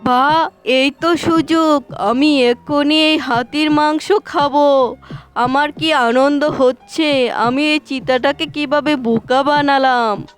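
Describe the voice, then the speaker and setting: A sly cartoon fox, acted out by a woman, speaks very high and slowly. The delivery is theatrical and wheedling, full of flattery and glee, with faint music underneath. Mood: gleeful. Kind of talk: children's cartoon voice acting.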